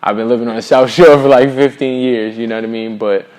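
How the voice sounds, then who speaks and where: A man's voice: medium pitch, steady tone, quick pace, darkish tone. A middle-aged man from Boston with an American accent, speaking as a singer-songwriter in a casual interview, earnest and reflective with plenty of slang. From Boston, USA.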